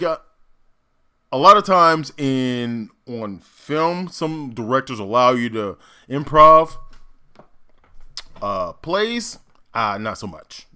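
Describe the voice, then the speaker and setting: A man with a bright, forceful voice gives casual commentary as an actor. His pitch jumps around as he makes emphatic points, halting to think aloud. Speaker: actor hosting reaction commentary. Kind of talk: casual reaction commentary, explaining stagecraft.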